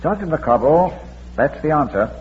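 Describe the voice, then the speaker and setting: Bearded amateur sleuth for vintage radio mystery: urbane, composed, dry wit, dull hissy recording. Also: male voice, a little high, sing-song. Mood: deducing, composed. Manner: measured, urbane, dryly witty. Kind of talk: 1940s radio mystery acting.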